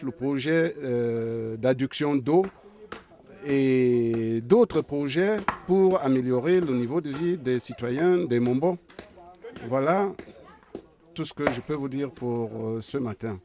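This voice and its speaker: A man with a Cameroonian French accent delivers a formal appeal to the camera from his neighborhood. He speaks very slowly and deliberately, his pitch sitting in a middle register. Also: dark tone. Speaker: local resident appealing for help. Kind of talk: public statement to camera. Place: outdoors in a neighborhood.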